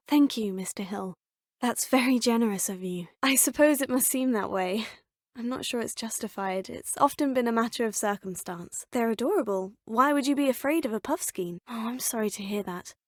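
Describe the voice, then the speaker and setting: A woman's voice: a little high, moderate pace, no room sound, clean studio sound, lightly acted. An actress voicing a poised, polite young witch student in a game, proper and lightly teasing. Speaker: player character, student. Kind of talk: game voice lines. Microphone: close studio mic.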